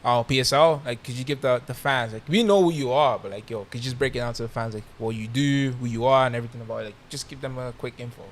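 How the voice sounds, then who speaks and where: Fast-talking male podcast co-host. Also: mid-range voice, a little hiss or hum. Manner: quick, slangy reactions. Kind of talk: casual interview chat.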